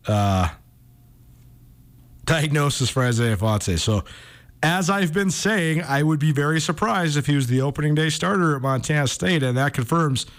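A man's voice: fairly high pitch, expressive, moderate pace, fairly bright tone. A man speaking as a sports radio host, giving informal, enthusiastic commentary in a conversational style.

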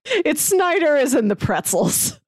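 A woman with a high, lively voice chats at an unhurried pace in a dry, close recording. She co-hosts a podcast and jokes along with playful mock-grand phrasing. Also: dry recording. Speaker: pop culture podcast co-host. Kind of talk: casual, joking podcast chat.

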